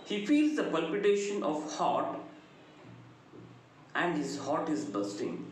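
A middle-aged man with a South Indian accent giving an explanatory lecture in a deliberate, emphatic, sing-song delivery. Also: a little high, very animated. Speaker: English lecturer. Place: small indoor room. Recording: very echoey room, faint noise.

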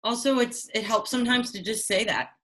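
A woman's voice: fairly high pitch, fairly quick, fairly bright tone. A young adult woman, an Olympic sailor, chatting casually and encouragingly with youth sailors over a video call. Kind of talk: casual online Q&A.

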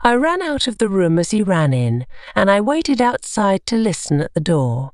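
A woman reading a scripted story for English learners, carefully and with clear enunciation. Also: mid-range voice, darkish tone, no echo, studio quality. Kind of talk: scripted audiobook narration.

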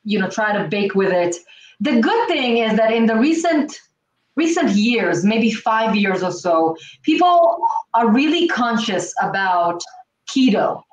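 A woman's voice: on the high side, sing-song, a little slow, lo-fi sound. Distant woman. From Israel. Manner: emphatic, repeats key points. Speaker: cooking show host. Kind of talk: casual chat on a video call. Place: home kitchen, indoors, standing back from the camera. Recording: echoey kitchen, distant mic, faint hiss.

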